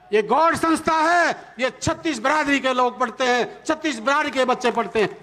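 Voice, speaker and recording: A man's voice: very high voice, sharp tone. A man with a Haryanvi accent delivers a fiery political rally speech as a community leader, defiant and emphatic, repeating himself and throwing out rhetorical questions.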